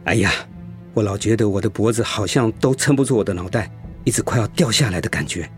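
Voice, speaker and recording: An actor playing an overworked, dutiful middle manager and husband, weary and put-upon, in a radio drama. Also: male voice, fairly low pitch, fast talker, soft background music, natural voice. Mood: tired, dazed.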